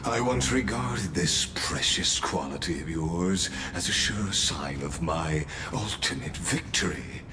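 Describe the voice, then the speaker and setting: A man's voice: deep voice, lively intonation, background noise. An actor playing a cold, grandiose male villain in a video game, speaking formally and with condescension. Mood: condescending. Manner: slow, formal, theatrical threats. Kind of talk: scripted video game acting.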